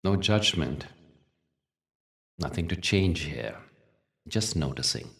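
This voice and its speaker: A man leading a guided meditation as a teacher, speaking gently in short phrases with long pauses. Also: deep voice, expressive, creaky, far from the mic. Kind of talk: guided meditation with spiritual talk.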